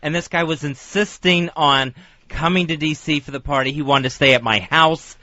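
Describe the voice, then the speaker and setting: A man's voice: on the high side, normal speed, pushed and bright, dry recording. A man hosting radio, recounting personal stories in casual confessional chat in a studio. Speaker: radio host. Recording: slightly muffled dry studio recording.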